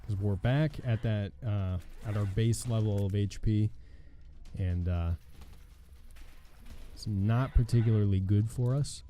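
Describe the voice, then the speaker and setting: A young man with a hoarse voice commenting casually on his own gameplay, thinking aloud with a wry tone and muttering as he reads. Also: lowish voice, unhurried, pauses often, dark tone. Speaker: gaming channel host. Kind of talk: casual let's play commentary.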